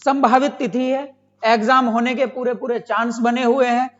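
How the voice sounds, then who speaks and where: A man's voice: very high-pitched, little pitch movement, moderate pace. A man who is an exam coaching teacher explains to students, repeating phrases and asking questions that he answers himself. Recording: dull lo-fi sound.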